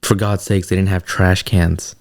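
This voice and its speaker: A man's voice: low voice, flat delivery, normal speed. A man from New York co-hosting a podcast in casual chat, asking probing questions and teasing. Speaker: podcast co-host.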